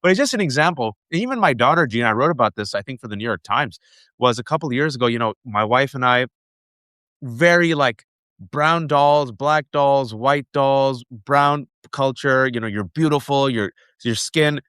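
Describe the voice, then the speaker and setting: A man in his forties from the United States, hosting an interview and telling animated personal stories with lots of asides. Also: mid-range voice, normal speed, dry recording. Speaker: host. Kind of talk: conversational interview.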